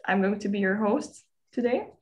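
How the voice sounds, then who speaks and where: A woman's voice: medium pitch, lively intonation, normal speed, dull audio. Casting: teenage woman host from Lithuania, friendly and hesitant, mid-range voice, video call audio. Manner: friendly, hesitant with many ums. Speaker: podcast host. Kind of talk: casual online group chat.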